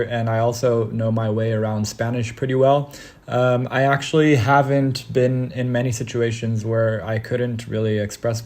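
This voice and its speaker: A young man from Brazil calmly telling a recorded travel story as a podcast guest. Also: medium pitch.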